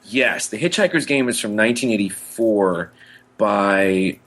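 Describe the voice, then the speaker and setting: A man's voice: lowish voice, animated, measured pace, fairly bright tone. A man co-hosting a podcast in casual, enthusiastic pop-culture chat, rambling and often interrupting himself. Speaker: podcast co-host.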